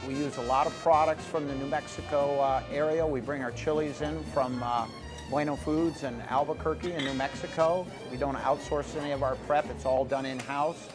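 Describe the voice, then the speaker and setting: Brisk male restaurant owner over music. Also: a little high, fairly even intonation, low-quality recording. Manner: brisk, matter-of-fact, with fillers. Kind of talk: interview soundbite.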